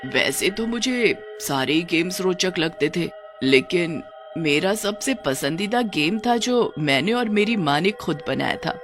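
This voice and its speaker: Woman as a low-voiced motherly storybook figure. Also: lively intonation, measured pace, soft background music. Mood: reminiscing. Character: gentle, kindly village mother talking to her daughter.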